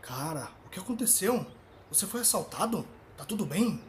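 A man's voice: high voice, big pitch swings, moderate pace. A male actor with a Brazilian accent playing an irritable but concerned everyman driver in an audio drama, colloquial and exasperated. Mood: alarmed concern. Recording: clear ambient noise behind.

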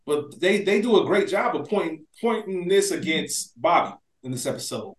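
A man co-hosting a casual TV recap podcast, talking in an animated, slangy way with plenty of swearing. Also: fairly high pitch, moderate pace. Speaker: podcast co-host. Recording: roomy, echoey sound.

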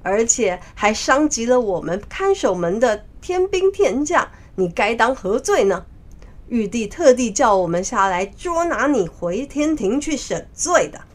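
Haughty celestial commander read by a woman, authoritative, formal storybook declaiming, animated intonation. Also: fairly high pitch, a little slow. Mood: stern, accusing. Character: stern, commanding male heavenly general, haughty and authoritative. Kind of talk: children's storybook character voice.

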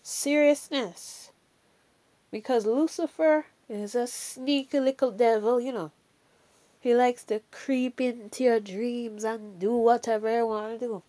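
A woman's voice: on the high side, steady tone, a little slow, dark tone. A woman with a Jamaican accent giving a casual, earnest faith talk. She speaks emphatically, repeats key phrases for weight, and often adds "you know" and "all right".

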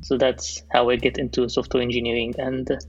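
A man in his thirties from Egypt, a software developer speaking as a podcast guest in a measured, thoughtful way, often pausing to rephrase. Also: mid-range voice, completely flat delivery, dull audio, faint music behind. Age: 30s. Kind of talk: casual interview.

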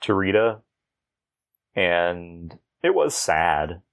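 A man's voice: low voice, very animated, extremely slow, gravelly. A man chatting casually and informally as a podcast host about TV episodes.

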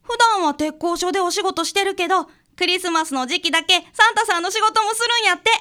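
A woman's voice: very high voice, fast, pushed and bright. A woman playing a sincere, insistent second-grade girl in a radio drama, speaking childlike Kansai dialect. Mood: earnest. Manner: childlike, earnest, matter-of-fact. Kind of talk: community radio drama acting.

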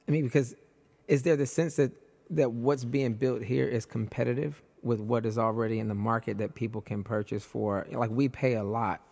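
Hoarse gravelly guy hosting a chat show, voice nearly shot, gets animated, reverby room. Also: mid-range voice, normal speed. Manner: animated, voice jumps up when excited. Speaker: podcast host. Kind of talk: casual conversation.

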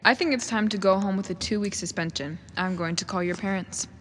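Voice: medium pitch, a little fast, a bit bright, natural voice. A performer playing a stern, dismissive school authority in an amateur radio drama. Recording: noisy, long reverberant hall sound.

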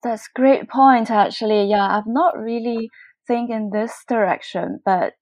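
A woman hosting an interview, polite and eager, asking questions in a slightly halting way. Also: a little high, steady tone, a little mellow, no room sound. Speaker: host, newcomer to public relations. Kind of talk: conversational interview.

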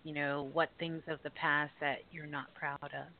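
A woman's voice: low voice, little pitch movement, normal speed, slight background noise. A woman hosting a call-in radio show, teasing and playful, stretching her words for emphasis. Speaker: radio host. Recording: tinny phone-like sound.

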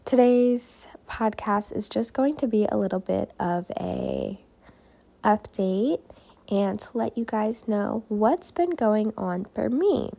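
A woman's voice: mid-range voice, slow, gravelly, mellow. A young woman in her twenties hosting a casual solo podcast, chatty and upbeat, talking straight to her listeners while losing her voice. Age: 20s. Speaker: podcast host and life coach.

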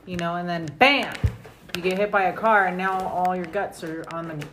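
A woman's voice: on the low side, steady tone, moderate pace, a bit bright, slight background noise. A woman in casual family conversation and scripture reading, with an informal, playful, slangy way of talking, recorded at home. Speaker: family podcast host reading scripture aloud and commenting.

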